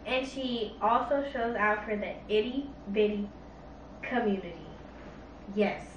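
A young woman from Florida in a casual family Q&A, sassy and playful, with quick slang. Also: mid-range voice. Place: indoor bedroom, on a couch. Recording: echoey room, mic at a distance, faint hiss.

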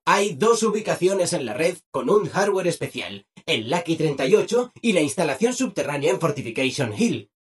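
Bubbly, husky, wildly animated robot helper. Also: male voice, a little high, exaggerated voice. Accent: Castilian Spanish, from Spain. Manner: bubbly, over-enthusiastic, exaggerated delivery. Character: relentlessly cheerful, eager-to-please male robot assistant. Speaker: video game voice actor. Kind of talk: dubbed video game dialogue.

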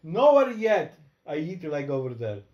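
Podcast co-host, man, slightly high voice, loose rambling delivery, roomy home recording. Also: big pitch swings, measured pace, a little mellow, dull audio. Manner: broken English, laughing, rambling storytelling. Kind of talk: casual chat. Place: home room with a dog. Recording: echoey room.

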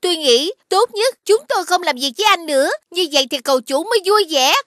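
A woman's voice: extremely high pitch, expressive, fast talker, a bit bright, no echo, studio quality. A woman voicing an earnest, reproachful little talking flower in a cartoon. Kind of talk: cartoon dubbing. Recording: music behind the voice.

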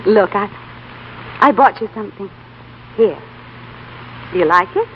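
A woman's voice: medium pitch, animated, unhurried, a little hiss or hum, natural voice. An actress playing an eager, affectionate young woman in love, in a breathless 1930s radio drama style. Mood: bashful, tender. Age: young adult. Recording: dull 1930s radio broadcast recording.

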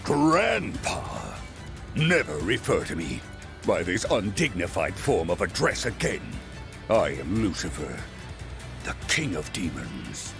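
A man's voice: low voice, sing-song, takes it slowly, gravelly, over music, heavily acted. An actor playing an imposing, haughty demon king in a video game, speaking in a pompous, formal way. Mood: haughty indignation. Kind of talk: video game cutscene acting.

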